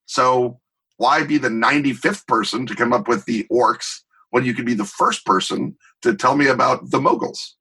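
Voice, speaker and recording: A man's voice: mid-range voice, normal speed, sharp tone, breathy edge, poor audio. A middle-aged man talking as an interview guest, explaining in an emphatic, wry, conversational way with digressive examples. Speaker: interview guest, tabletop game designer.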